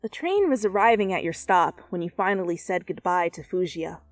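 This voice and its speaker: A woman giving an expressive, theatrical dramatic reading of fan fiction as narrator. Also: fairly low pitch, normal speed, no room sound. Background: background music.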